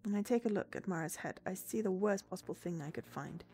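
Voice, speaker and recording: A woman's voice: mid-range voice, fast, mellow. A woman streamer reading a visual novel aloud as dramatic narration. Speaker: game streamer. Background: game music.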